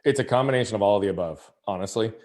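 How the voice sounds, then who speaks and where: Candid, energetic man telling his story. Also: on the low side, very fast. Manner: candid, energetic, frequent restarts and "like". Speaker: podcast guest, speaker and coach. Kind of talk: conversational interview storytelling.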